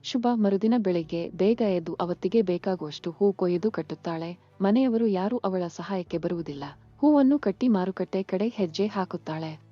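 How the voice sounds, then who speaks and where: A woman's voice: mid-range voice, normal speed, mellow, no room sound. A woman reading scripted narration for a children's moral story. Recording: muffled lo-fi sound. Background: faint music.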